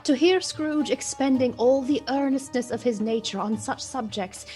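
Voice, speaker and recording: A woman narrating a literary radio play in a measured, dramatic and deliberate way. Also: high voice, warm tone, faint music behind, close to an everyday voice.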